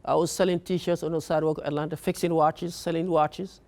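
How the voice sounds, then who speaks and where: A young man from Africa telling his own story in an earnest, halting way, often repeating words as he searches for them. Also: a little high, flat delivery, darkish tone. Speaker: speaker. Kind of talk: personal story talk.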